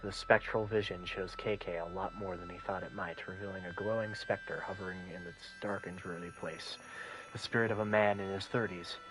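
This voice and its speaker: A young man with a deep voice, a streamer reading game text aloud in hushed narration. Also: steady tone, a little fast, over music, rough recording.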